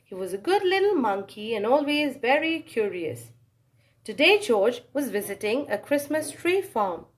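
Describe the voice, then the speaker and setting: A woman reads a children's story aloud with expressive, animated narration and dramatic pauses and exclamations. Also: on the high side.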